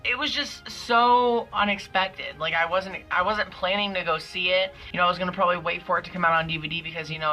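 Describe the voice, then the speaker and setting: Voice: medium pitch, pushed and bright, rough recording. A young adult giving a casual, gushing movie review in a vlog.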